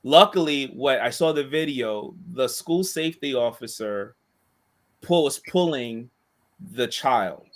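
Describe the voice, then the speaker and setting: Man, monotone voice, casual rambling storyteller, many restarts. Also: mid-range voice, unhurried. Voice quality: monotone voice, self-described. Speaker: co-host and school staff member.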